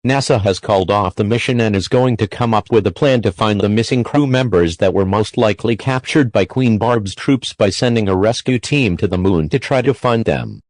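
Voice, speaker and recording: A man's voice: lowish voice, normal speed, few pauses, darkish tone, dry recording. A man reading a scripted emergency bulletin in a formal manner as an alert announcer.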